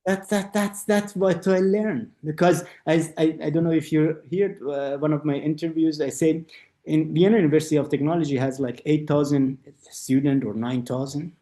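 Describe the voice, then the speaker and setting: A middle-aged man, an architect being interviewed, speaking halting non-native English with many restarts and acting out the voices in his stories. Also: a little high, steady tone, mellow, echoey room. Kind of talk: conversational interview. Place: lives in Austria.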